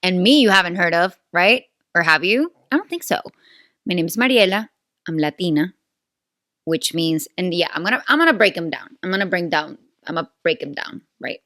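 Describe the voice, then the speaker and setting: A woman's voice: on the low side, expressive, a little fast, fairly bright tone, no room sound, studio quality. A woman in her thirties from Venezuela, a native Spanish speaker with a Venezuelan accent, hosting her own podcast in playful, chatty, self-aware talk. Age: 30s. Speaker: podcast host. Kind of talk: casual solo podcast chat.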